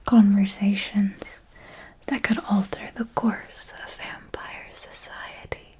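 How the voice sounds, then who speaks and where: A woman playing an elegant, seductive vampire mentor in an ASMR roleplay, speaking in a soft breathy whisper with formal, deliberate, intimate phrasing. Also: medium pitch, flat delivery, lightly acted. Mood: hushed, alluring. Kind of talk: ASMR roleplay, scripted monologue.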